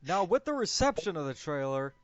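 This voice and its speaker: A man's voice: fairly high pitch, big pitch swings, fairly quick, no room sound. A man hosting a casual movie discussion, giving his opinions and explaining them. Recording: dull lo-fi audio, music bed. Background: music.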